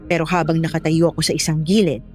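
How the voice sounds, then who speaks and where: A woman's voice: deep voice, level tone, fast talker, darkish tone, soft background music, close to an everyday voice. A woman with a Filipino accent playing a pregnant young wife who narrates her own horror story. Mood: uneasy, suspicious. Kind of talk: first-person scripted horror story narration.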